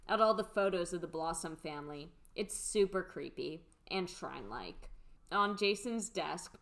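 A woman narrates a radio play from its script. Her voice sits a little low as she reads scene headings and stage directions. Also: fairly bright tone.

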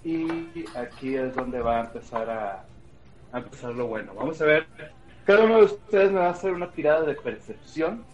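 A man narrating and explaining rules as game master of a tabletop game, relaxed, with many fillers. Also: fairly high pitch, moderate pace, faint music behind, rough recording.

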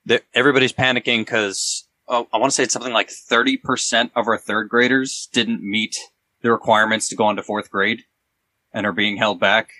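A man, a teacher, chatting casually on a podcast in a halting, anecdotal way. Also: fairly low pitch, fairly even intonation, pushed and bright.

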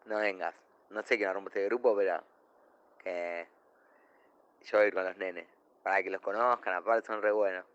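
A man playing a defensive, earnest family man in a comic radio play, halting in speech, with an Argentine accent. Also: low-pitched, highs cut off, natural voice. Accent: Argentine, Rioplatense. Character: defensive, earnest family man, devoted middle-aged father.

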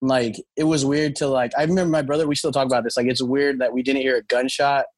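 Young man, from Southern California, slangy, husky voice, dry close recording. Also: mid-range voice, steady tone, fast talker, no room sound. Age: young adult. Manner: slangy, says bro a lot. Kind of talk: casual chat.

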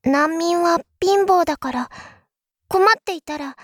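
A woman playing a naive, eager young princess, speaking in a girlish, expressive, confiding way in a Japanese voice drama monologue. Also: extremely high pitch, unhurried, no echo, studio quality. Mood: puzzled, concerned.

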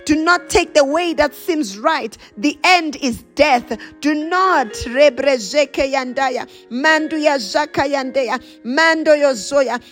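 A high-voiced woman delivers a charismatic prayer as a preacher would to her listeners. She repeats short declarative phrases and moves between plain exhortation and speaking in tongues. Faint music is heard along with her voice. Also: fairly bright tone. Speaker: preacher praying over listeners.

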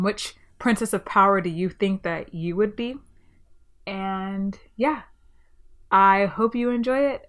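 A woman's voice: medium pitch, a bit bright. A young woman hosting a solo podcast review, talking in an enthusiastic, chatty way with frequent ums, her voice a little stuffy from a cold. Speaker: podcast host.

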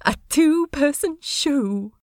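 A woman voicing a gentle, fussy, delighted man in love, with prim phrasing, in a fan fiction audio drama. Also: sits high, animated, slow pace, no room sound, studio quality. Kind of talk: fan fiction podfic character acting.

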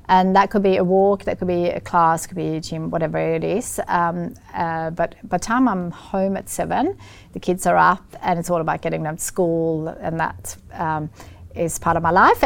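A middle-aged woman from Sweden with a Swedish accent, talking in an interview as a guest, often pausing with fillers and restarting. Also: lowish voice, creaky. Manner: hesitant, many fillers and restarts. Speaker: guest, business founder. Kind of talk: casual interview talk.

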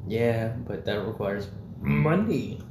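A man's voice: fairly low pitch, lively intonation, slow pace. Rambling, swearing young man in an echoey room. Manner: rambling, swears often, many fillers. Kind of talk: casual chat. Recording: echoey room, faint background noise.

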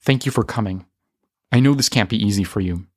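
A man voicing a remorseful older father, a redeemed villain with a gentle, hesitant manner, in a story reading. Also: on the low side, fairly quick, no room sound, studio quality, close to an everyday voice.

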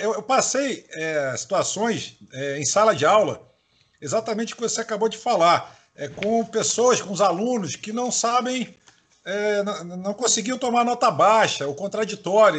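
A man's voice: high-pitched, a bit bright. A man from Salvador, a university professor co-hosting, who talks casually and hesitates a lot. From Salvador, Bahia, Brazil. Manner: hesitant, many fillers. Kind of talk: casual interview chat.

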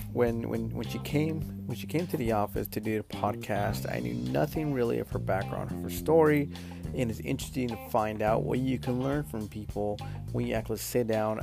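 A man's voice: medium pitch, gravelly. A man hosting a casual podcast interview, upbeat and chatty, with lots of fillers and restarts. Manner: upbeat, hyped greeting with many fillers and restarts. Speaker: podcast host.

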